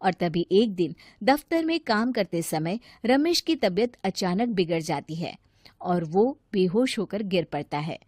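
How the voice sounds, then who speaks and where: A woman narrates a scripted moral tale as a storyteller. Her voice is medium in pitch and recorded close and dry. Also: animated, unhurried, a little mellow, no echo.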